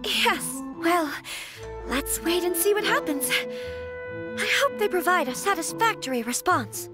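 An earnest young lady of a noble house in a fantasy game. Her voice is high and animated, with formal, polite phrasing. The sound is dry, with light music underneath. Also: a little slow. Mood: relieved, hopeful. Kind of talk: video game voice acting.

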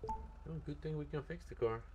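A man's voice: on the high side, normal speed, dark tone, slight background noise. A man chatting casually as he roleplays a paramedic in an online game stream.

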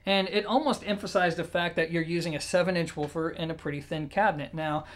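A man in his thirties giving a casual, conversational product review to camera, explaining things in a measured way. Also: fairly high pitch, steady tone, fairly bright tone. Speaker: audio gear reviewer. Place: small room indoors.

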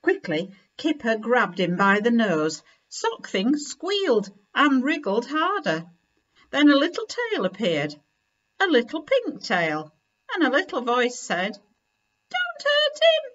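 Slow, animated woman storyteller. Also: a little high, highs cut off. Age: middle-aged. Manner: deliberate, expressive. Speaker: story reader. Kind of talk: children's picture-book reading. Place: living room at home, indoors.

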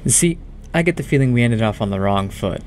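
A man's voice: medium pitch, animated, brisk, a little mellow, a little hiss or hum, lightly acted. An actor playing a smug, taunting monster disguised as a man, mocking and falsely chummy in a horror audio drama. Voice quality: unnatural, off-sounding.

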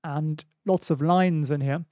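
A man's voice: on the high side, steady tone, unhurried, dark tone, dry recording. A man in his forties with a German accent, casually commenting on stock charts in a home studio on a studio mic, thinking aloud and reading viewer questions. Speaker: stock market livestream host. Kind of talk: casual live market commentary. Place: small home studio, indoors. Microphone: studio mic on boom in front of face.

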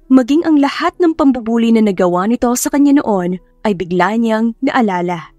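High-voiced Filipino woman narrating over music. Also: a little mellow, no echo. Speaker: audiobook narrator. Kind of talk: scripted dramatic story narration. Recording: background music under narration.

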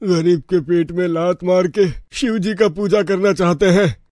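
A man voicing a poor, meek village father who pleads in despair in an animated story. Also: high voice, unhurried, no room sound, clean studio sound. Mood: anguished.

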